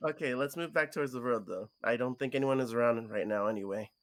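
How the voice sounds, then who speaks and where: A man voicing a cautious, protective young male friend in a visual novel, hesitant and low-key. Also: quick pace, a bit bright, natural voice. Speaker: co-reader voicing a character on stream.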